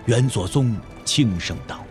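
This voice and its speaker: A man's voice: mid-range voice, measured pace, soft background music. A man giving dramatic scripted narration for an audio drama.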